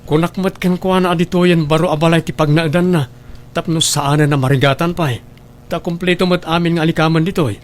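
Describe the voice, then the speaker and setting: Higher-voiced actor as caring elder brother. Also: male voice, fairly even intonation, a little fast, close to an everyday voice. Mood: earnest. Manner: earnest, solicitous. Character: caring, responsible adult elder brother and son. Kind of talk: Ilocano radio drama acting.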